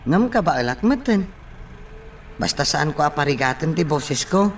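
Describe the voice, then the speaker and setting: A man's voice: on the high side, sing-song, moderate pace, far from the mic, a little hiss or hum. An actor playing a meek, hesitant young man who stammers unsurely in a radio drama. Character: hesitant, meek young man, eager to please his mother.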